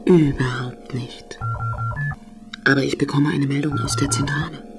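A woman's voice: rumbling low, fairly even intonation, unhurried. A woman playing a courteous, composed female station computer with a flirtatious streak in a German sci-fi radio play. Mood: apologetic, composed. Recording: music behind the voice.

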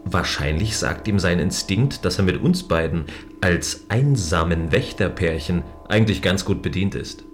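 A man playing a gentle, dutiful guardian of a space station in a science fiction audio drama, speaking in a considerate, earnest, explaining way. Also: low voice, normal speed, soft background music, lightly acted. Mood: wryly content.

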